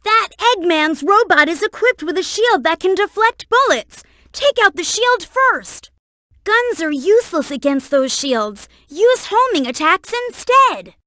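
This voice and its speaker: Very high, bright cartoon boy fox. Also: very animated, measured pace, dry recording, studio quality, heavily acted. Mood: earnest, instructive. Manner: earnest, clearly enunciated, childlike. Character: young boy fox sidekick, earnest, helpful, excitable. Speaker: video game character giving hints. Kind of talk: game voice acting, tutorial hints and alerts.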